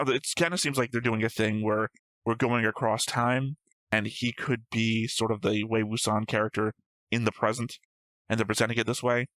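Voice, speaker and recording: Low-pitched man, casually arguing theories. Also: a bit bright, no room sound. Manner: argumentative, reasoning aloud. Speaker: co-host of an anime reaction show. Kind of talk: casual discussion of show theories.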